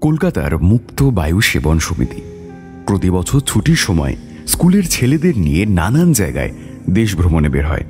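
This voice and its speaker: Deep, expressive male story narrator. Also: normal speed, faint music behind. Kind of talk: dramatic scripted narration.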